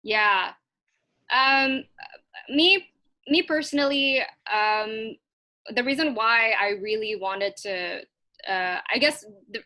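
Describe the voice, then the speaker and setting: A woman startup founder answers on a panel in a bright, forward voice, recorded close and dry. Her pitch is middling, and she speaks informally, often correcting herself as she goes. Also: no room sound. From Indonesia.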